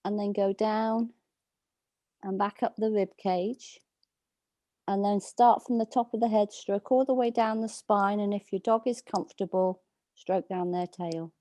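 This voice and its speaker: Soft-spoken woman coaching gently. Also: medium pitch, dry recording. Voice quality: calming voice. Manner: soft, slow, soothing coaching. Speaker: dog trainer leading a workshop. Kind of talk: gentle guided instruction on a video call.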